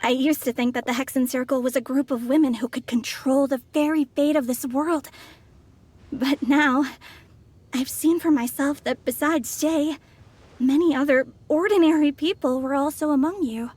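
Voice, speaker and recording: A woman playing an earnest, composed young scholar in a video game, speaking politely and articulately in a measured way. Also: sits high, fairly even intonation, darkish tone, close to an everyday voice. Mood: curious, composed. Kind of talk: scripted video game voice acting.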